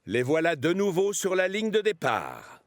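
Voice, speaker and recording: A man narrating a French children's cartoon as an expressive storyteller. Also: a little high, moderate pace, no echo.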